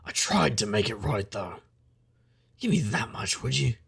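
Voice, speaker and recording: An actor playing a world-weary, rueful magical investigator, narrating a noir audio drama in a gravelly, husky voice with wry delivery. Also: male voice, lowish voice, animated, measured pace, a bit bright, heavily acted. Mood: defensive.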